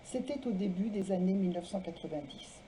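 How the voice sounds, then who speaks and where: A woman presenting and reading literary texts aloud in a measured way, phrase by phrase. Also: mid-range voice, expressive, warm tone, slight background noise. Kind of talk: literary talk, biographical introductions and read-aloud texts.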